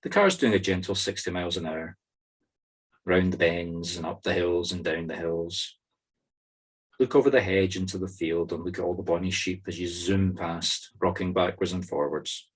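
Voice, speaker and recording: A Scottish man with a low voice leads a guided meditation. He gives short, soothing instructions and leaves pauses between them. The sound is that of a video call. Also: slightly rough, poor audio. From Scotland. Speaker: spiritual medium leading a meditation group. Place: online video-call circle.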